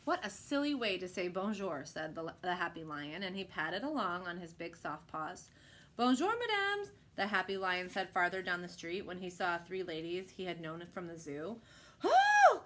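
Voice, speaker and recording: A woman's voice: lowish voice, moderate pace, hardly any pauses, sharp tone. Woman reading a picture book aloud. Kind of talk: expressive children's read-aloud narration.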